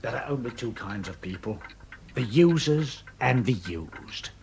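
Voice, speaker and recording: An actor playing an oily, cynical dealer in a radio drama, with a wheedling, condescending, chuckling manner. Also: male voice, medium pitch, expressive, a little slow, a little mellow, slight background noise. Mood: mocking, cynical.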